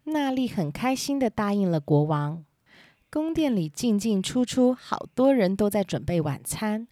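A woman storyteller narrates for children at a medium pitch. Her voice is recorded close and dry, on a simple home setup. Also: very animated, a little slow, dark tone, no echo. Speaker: storyteller, host.

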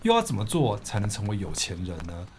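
A man's voice: lowish voice, huge pitch swings, fast, a little hiss or hum. A man co-hosting a book podcast, reading scripted narration as a storyteller.

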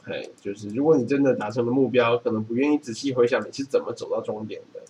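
A man's voice: medium pitch, quick pace, dark tone. A man, reading from a book and explaining it in a casual chat. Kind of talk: reading a book aloud and chatting about it.

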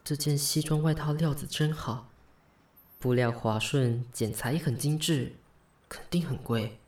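A man's voice: mid-range voice, unhurried, natural voice. An actor playing a polite, flustered, sentimental young man in a Taiwanese Mandarin radio drama, with sighs and hesitant interjections. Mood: apologetic, flustered. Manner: expressive, sighing, hesitant interjections. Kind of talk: Mandarin radio drama acting with inner monologue. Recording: studio voice over background music. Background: background music.

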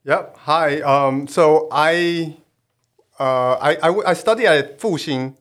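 A man's voice: on the high side, takes it slowly, fairly bright tone. A man, a guest admissions consultant on a podcast, speaking hesitantly with frequent ums and restarts. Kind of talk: podcast interview.

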